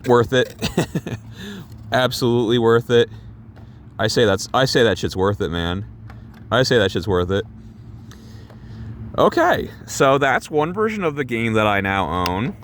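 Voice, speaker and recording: A young man doing a casual unboxing vlog for his chat, excitable and rambling, swearing and laughing at himself while losing his voice. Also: mid-range voice, measured pace, pauses often, a little hiss or hum. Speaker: gaming YouTuber doing an unboxing.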